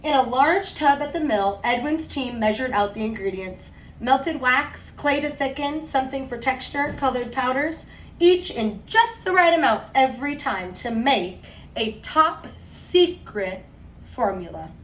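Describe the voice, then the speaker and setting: A woman teacher reading a picture book aloud to young children, expressively and deliberately, stressing words and adding conversational asides. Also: high voice, lively intonation. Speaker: second grade teacher. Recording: roomy echo, distant mic, faint noise.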